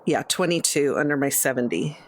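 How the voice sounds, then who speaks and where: A woman's voice: extremely low pitch, expressive, fairly quick. A woman playing a tabletop roleplaying game, talking casually about what her character will do.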